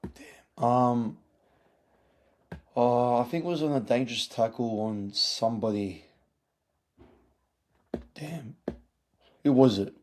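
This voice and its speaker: A man hosting a casual sports review podcast, his voice hoarse from yelling, halting with many fillers and restarts. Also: mid-range voice. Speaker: podcast host.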